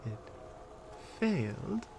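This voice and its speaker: Casting: actress as proud Amazonian warrior woman for ASMR audio drama; commanding, unhurried, expressive range, noisy ambient backdrop. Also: on the low side, creaky, full character voice. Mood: challenging. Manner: measured, commanding, deliberate pauses. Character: proud stern Amazonian warrior woman guarding an enemy prisoner.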